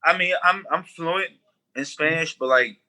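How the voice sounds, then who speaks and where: A man's voice: a little high, unhurried, sharp tone. A man being interviewed as a guest on a podcast, talking loosely with frequent restarts and casual swearing. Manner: loose, rambling, swears freely, many restarts. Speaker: guest musician.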